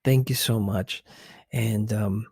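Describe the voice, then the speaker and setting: Slow-talking man, close and dry. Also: on the low side, fairly even intonation, dark tone, breathy edge, no echo. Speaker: ASMR video host. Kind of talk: casual ASMR commentary on film scenes.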